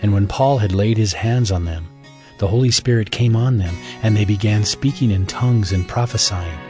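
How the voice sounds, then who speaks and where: Low-voiced male Bible narrator over music. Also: a little mellow. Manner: measured, formal storytelling. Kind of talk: scripted narration of dramatized Bible.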